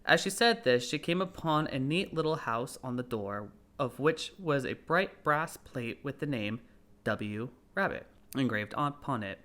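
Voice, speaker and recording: Male storybook narrator, mid-pitched. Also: lively intonation, unhurried, sharp tone. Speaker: audiobook narrator.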